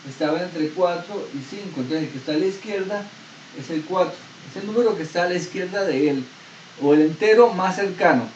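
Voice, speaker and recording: A man lectures on math, explaining step by step and stopping to quiz his students. His voice sits a little high. He is picked up from a distance in an echoey classroom with a dull, slightly noisy sound. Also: a little mellow. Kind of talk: university lecture. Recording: echoey room, distant dull mic, faint noise.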